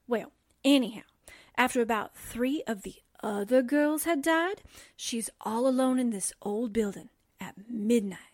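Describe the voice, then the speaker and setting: A woman playing an excitable, slangy young newspaper assistant in a breezy, dramatic 1940s radio drama style. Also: fairly high pitch, a little slow, no room sound, studio quality. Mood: gossipy, dramatic.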